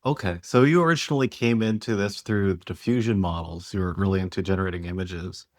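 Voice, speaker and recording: Friendly low-voiced podcast host man. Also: brisk, darkish tone, dry recording, clean studio sound. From Philadelphia, United States. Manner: friendly, conversational questioning. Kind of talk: casual interview chat.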